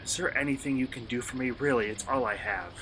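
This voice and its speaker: A man playing an eager, polite college student and hobby vlogger in a radio play, excitable and earnest. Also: mid-range voice, fast, slight background noise, natural voice, rough recording. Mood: pleading, earnest. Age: young adult.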